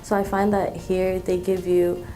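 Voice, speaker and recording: A woman in her twenties from Malaysia, a student talking in an interview, relaxed, with many ums and restarts. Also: lowish voice, unhurried. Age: 20s. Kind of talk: interview testimonial.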